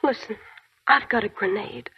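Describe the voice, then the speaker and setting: An actress playing a brave young army nurse who speaks in a hushed, breathy voice, in a 1940s radio drama. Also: female voice, fairly high pitch, moderate pace, slight background noise, natural voice. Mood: resolute. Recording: dull lo-fi 1940s radio broadcast.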